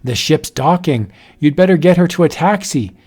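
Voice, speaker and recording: A man's voice: a little high, expressive, normal speed, darkish tone, breathy edge, soft background music. A man voicing a condescending ship's doctor in a read-aloud story. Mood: patronizing.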